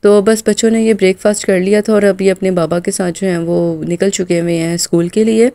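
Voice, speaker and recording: A woman in her thirties from Pakistan with a hoarse, sore throat, narrating her daily home vlog in a chatty, loose way full of filler phrases. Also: medium pitch, fairly even intonation, a little fast, warm tone, dry recording. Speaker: mom vlogger.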